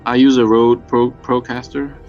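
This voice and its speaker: A man chatting on voice chat while gaming, calm and matter-of-fact, giving helpful tips. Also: mid-range voice, moderate pace, soft background music. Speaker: experienced fellow player. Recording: dull lo-fi voice-chat mic.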